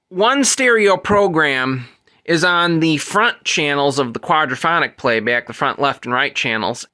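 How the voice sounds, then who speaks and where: A man's voice: a little high, bright, forceful tone. A man giving an unscripted, methodical technical explanation as a presenter, going into detail and correcting himself as he goes. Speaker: presenter demonstrating vintage audio gear.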